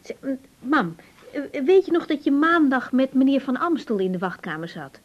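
An actress playing a brisk, caring young doctor in a radio drama, direct and reassuring as she asks her questions. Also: sits high, sing-song. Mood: coaxing, familiar. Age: young adult. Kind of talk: naturalistic radio drama acting. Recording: dull, band-limited radio recording.

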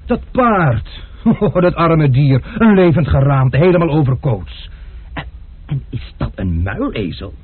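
An actor playing an impulsive, quick-tempered gentleman traveller in a radio play, full of exclamations. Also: fairly high pitch, husky, exaggerated voice. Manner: lively, exclaiming, blustery. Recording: muffled lo-fi archive radio play.

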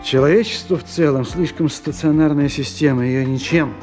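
Actor as mellow, dryly philosophical scientist. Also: male voice, fairly high pitch, moderate pace, close to an everyday voice. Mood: detached, dry. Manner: dry, precise, detached. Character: sceptical, aloof male scientist, dryly philosophical. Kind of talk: radio play dialogue. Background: music.

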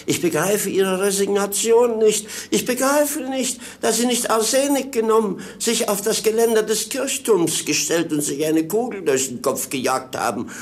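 An actor playing a wry, earthy servant to a prince in a 1950s German radio play, sardonic and theatrically exasperated. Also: male voice, high-pitched, expressive, normal speed, few pauses. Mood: mock exasperation.

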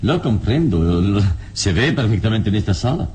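An actor playing a serene, proud heroic adventurer in a radio drama, measured, dignified and theatrical. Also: male voice, lowish voice, sing-song, darkish tone. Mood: calm, reassuring.

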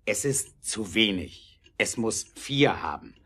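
A man acting an earnest, brooding, determined inventor in a German audio drama, with emphatic, deliberate delivery. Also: on the low side, expressive, sharp tone, breathy edge, full character voice. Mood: resolute, impatient.

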